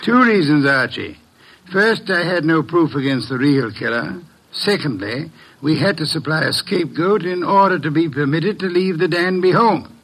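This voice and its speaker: An actor playing a shrewd, pedantic middle-aged private detective with a gravelly, precise and pompous delivery, in an old-time American radio drama. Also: male voice, a little high, lively intonation, moderate pace, a bit bright, exaggerated voice, poor audio. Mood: dry, superior.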